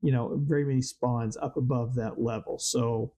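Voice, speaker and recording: Slightly hoarse man, mellow dark voice, casual game commentary, thinks aloud, many ums and uhs. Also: mid-range voice, fairly even intonation, normal speed. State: slightly hoarse, voice worn from weather change. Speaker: Minecraft server let's-play host.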